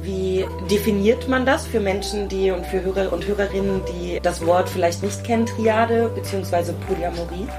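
A woman's voice: medium pitch, normal speed, few pauses. Woman interviewer with music behind her. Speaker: interview host. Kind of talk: conversational interviewing.

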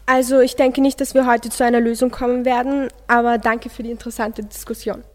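A woman's voice: fairly high pitch, little pitch movement, fairly quick, long reverb tail, heavy background noise. A teenage girl, formally moderating a student radio discussion.

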